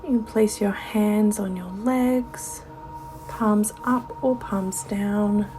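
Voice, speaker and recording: A woman leading a guided meditation as a yoga teacher, soothing and unhurried, her soft voice often falling away toward a whisper. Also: mid-range voice, fairly even intonation, faint music behind, low-quality recording. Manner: unhurried instruction with gentle pauses.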